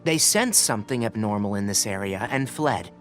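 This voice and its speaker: An actor playing a calm, scholarly young male researcher in a video game, articulate and measured as he explains. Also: fairly low pitch, soft background music, lightly acted. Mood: calm, analytical.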